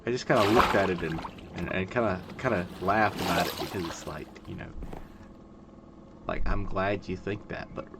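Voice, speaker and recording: A man in his twenties giving calm, monotone, rambling gameplay commentary, full of fillers. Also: low-pitched, moderate pace. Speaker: gaming video creator. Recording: loud background noise.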